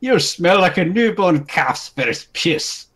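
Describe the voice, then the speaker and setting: A man's voice: high voice, animated, takes it slowly, a bit bright, slightly rough, pitched above the performer's own voice, exaggerated voice. A man voicing a grumpy, smug bearded brute who taunts with rustic grammar in a storybook. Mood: taunting.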